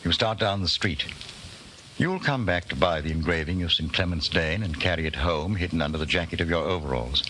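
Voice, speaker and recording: An actor playing a grave, ominous narrator, dramatic and admonishing in the manner of 1940s radio drama. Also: male voice, low-pitched, fairly quick, sharp tone. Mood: stern. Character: grave, ominous male narrator addressing the hero. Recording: old radio recording, faint noise.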